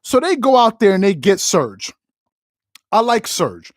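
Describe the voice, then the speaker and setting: Animated, opinionated man on a sports show. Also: sits high, unhurried, no echo, clean studio sound. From United States. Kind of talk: casual live-stream sports talk reading viewer comments.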